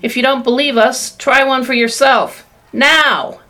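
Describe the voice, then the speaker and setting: A woman reading aloud, voicing an excitable, eccentric male chocolate maker who gives loud, commanding exclamations. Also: sits high, unhurried, pitched above the performer's own voice. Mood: excited.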